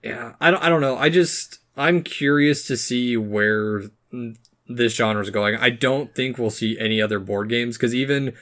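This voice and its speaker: A man's voice: mid-range voice, a little slow, a bit bright. A man podcast co-host chatting casually in an agreeable, slightly hesitant and loosely phrased way. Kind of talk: casual chat about games and movies.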